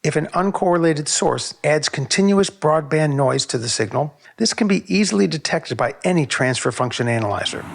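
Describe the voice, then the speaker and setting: A man's voice: on the high side, expressive, creaky. Man giving precise technical narration. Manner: measured, precise explanatory delivery. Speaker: narrator of a technical audio video.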